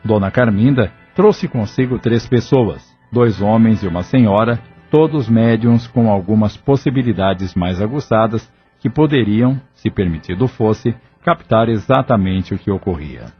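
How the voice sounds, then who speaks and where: A man's voice: lowish voice, expressive, a little slow, hardly any pauses, breathy edge. A man reading scripted narration for an audio drama. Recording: dull lo-fi audio. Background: background music.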